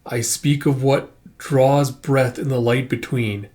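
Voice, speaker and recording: A man's voice: medium pitch, fairly even intonation, dark tone, close to an everyday voice. Man acting a solemn, portentous otherworldly warrior. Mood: solemn, wary. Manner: slow, formal, portentous. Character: solemn, archaic otherworldly warrior, proud and full of dread.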